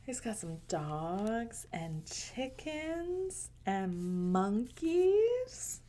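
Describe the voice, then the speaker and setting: A woman reading a picture book aloud to children in a gentle, unhurried way, with casual asides. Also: fairly high pitch, big pitch swings. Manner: gentle, unhurried, pausing between short phrases.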